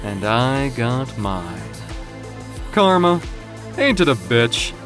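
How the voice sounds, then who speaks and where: A man playing a cocky, flamboyant villain in an audio drama, gloating theatrically over his captive with savoring pauses. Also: mid-range voice, sing-song, over music. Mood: mocking, smug. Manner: theatrical, taunting, savoring words with drawn-out pauses.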